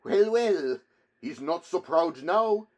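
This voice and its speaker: Man acting a loud, high-pitched jeering bystander. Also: animated, takes it slowly. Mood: mocking. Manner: taunting, loud. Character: jeering, mocking street bystander in medieval crowd.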